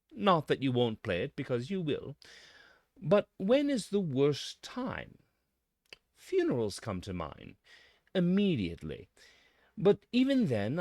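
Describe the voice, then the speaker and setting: A man delivering a scripted mock-scholarly monologue in a pompous, deliberate manner with dramatic emphasis. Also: medium pitch, a little mellow, no room sound, clean studio sound.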